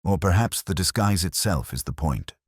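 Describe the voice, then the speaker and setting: A man voicing a mild, philosophical police inspector who speaks in measured, cryptic phrases in a detective story. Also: deep voice, expressive, husky, no echo, studio quality. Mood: calm, grave.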